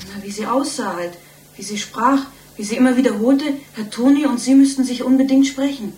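Echoey and off-mic, a high-voiced, uneasy woman witness played by an actress recounts things in an anxious, chatty way. It has the faint noise of a sixties German radio play. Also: brisk, darkish tone, lightly acted. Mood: uneasy, agitated. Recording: echoey, distant, noisy old radio recording.

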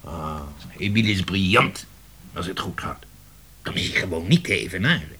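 An actor playing a blustering, persuasive male publishing director in a radio play, emphatic and given to dramatic pauses and repetition. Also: sits low, expressive, sharp tone, a little hiss or hum. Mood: earnest, insistent. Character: blustering, cajoling male publishing director of old family firm.

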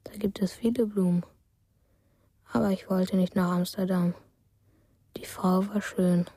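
A woman voicing a dreamy, withdrawn child in a radio drama monologue, soft and half-whispered, in simple detached sentences. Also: mid-range voice, fairly even intonation, unhurried, slightly rough, no room sound. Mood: dreamy, hushed.

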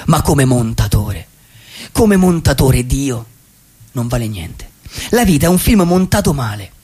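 A man playing a wistful, poetic vagabond dreamer in a radio theatre monologue, reflective and husky, with deliberate pauses. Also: fairly high pitch, a little mellow, heavily acted. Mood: wry, reflective. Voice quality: husky, gravelly. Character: wistful, poetic male vagabond dreamer who loves cinema.

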